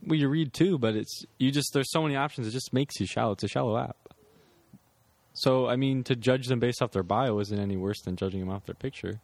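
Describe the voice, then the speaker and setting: A man's voice: medium pitch, a little fast, vocal fry, a little mellow, no room sound. A man co-hosting a podcast in casual chat, arguing his point with repeated restarts. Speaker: podcast co-host.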